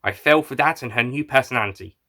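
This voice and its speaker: Guy doing a wry time traveller hero in an audio story, bit defensive, bright punchy voice. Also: mid-range voice, fast talker.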